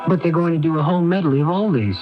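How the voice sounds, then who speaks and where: A man's voice: fairly high pitch, quick pace, soft background music, close to an everyday voice. A man playing an evasive, guilt-ridden husband, sardonic and testy, in a radio drama. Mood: evasive, dry. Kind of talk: 1980s radio drama acting. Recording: dull AM radio broadcast recording.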